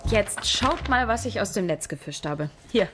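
A woman's voice: mid-range voice, expressive, normal speed, noisy background, lightly acted. An actress playing an ambitious female scriptwriter, casual and persuasive in naturalistic radio drama dialogue. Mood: casually confident. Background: music.

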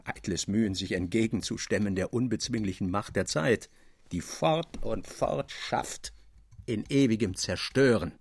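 A man's voice: fairly low pitch, measured pace, gravelly, slightly rough, full character voice. An actor playing a wistful, disenchanted man of letters in a German radio drama, speaking in a theatrical, declamatory manner. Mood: wistful, brooding.

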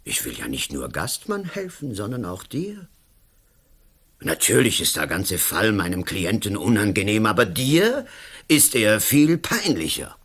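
An actor playing an overbearing, smug lawyer and politician in a radio play, pompous, blustering and condescending. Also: male voice, lowish voice, sing-song, unhurried, a bit bright, breathy edge, exaggerated voice.

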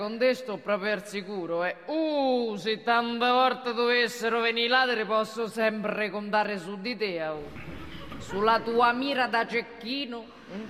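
A woman's voice: a little high, a bit bright, slight background noise. An actress playing a sardonic, blustering male night watchman with a Roman accent, rambling sarcastically in a theatrical comic monologue. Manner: sarcastic, rambling, punctuated with grunted tags. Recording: reverberant theatre hall, distant.

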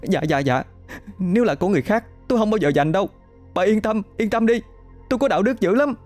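A man's voice: lively intonation, a little mellow, soft background music. A man voicing a frightened young female student who protests and pleads through tears. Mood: pleading. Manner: shrill, sobbing, rapid protesting.

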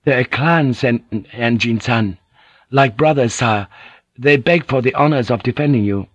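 A man's voice: medium pitch, unhurried, no echo, lo-fi sound, close to an everyday voice. A man doing a deferential samurai retainer. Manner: polite, apologetic, formal.

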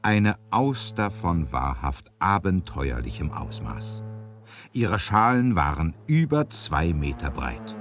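A man narrating a 1970s adventure radio play in a theatrical, deliberate storytelling style. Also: low-pitched.

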